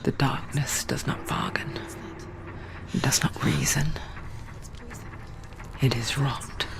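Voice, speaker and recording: An ominous female narrator whispers a dark video game tale. The delivery is slow, intimate and hushed, like a voice inside a troubled mind. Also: a bass voice, fairly even intonation, gravelly, over music, exaggerated voice.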